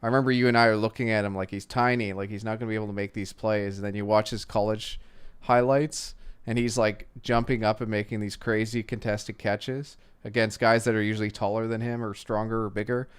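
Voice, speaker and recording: Male sports analyst co-host, lowish pitch, clean smooth tone, conversational explainer with plenty of asides. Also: level tone, brisk. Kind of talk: casual analytical sports and betting chat.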